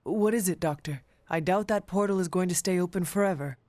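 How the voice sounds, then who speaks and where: A woman playing an earnest, solemn warrior heroine in a video game, speaking in a formal, measured way. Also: on the low side, a little mellow, long reverb tail, lightly acted. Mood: matter-of-fact. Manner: formal, measured, avoids contractions. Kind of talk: video game character acting.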